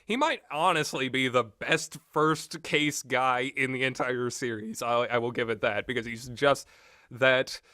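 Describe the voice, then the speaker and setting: A man's voice: medium pitch, unhurried, bright, forceful tone, dry recording, clean studio sound. A man giving a rambling, unscripted and casual video game review, readily amused as he talks. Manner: rambling, often breaks into amused laughter.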